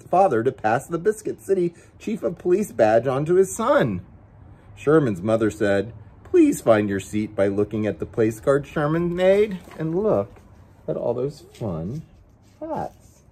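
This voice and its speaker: A man's voice: medium pitch, breathy edge. A man reading a children's picture book aloud as story time host, with playful, chatty asides to his pet dog.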